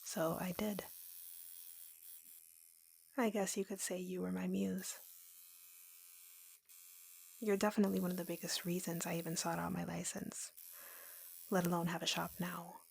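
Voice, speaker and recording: A woman voicing a lovesick, obsessive tattoo artist in an intimate roleplay audio, softly and breathily, in a slow, confiding way. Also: lowish voice, completely flat delivery, heavily acted. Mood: bashful, fond. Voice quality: soft, breathy, whispery. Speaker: roleplay voice performer.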